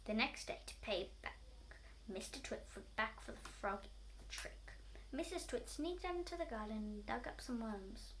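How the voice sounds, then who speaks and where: A woman reading a children's story aloud, somewhat halting and often going back over words. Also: on the high side, a bit bright, slight background noise. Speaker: storybook reader.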